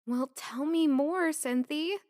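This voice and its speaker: Actress as a high-voiced, lovestruck young maid. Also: female voice, slow pace, a little mellow, dry recording, clean studio sound. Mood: shy curiosity. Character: young palace maid, lovestruck, unsure, anxious woman. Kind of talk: fantasy audio drama acting.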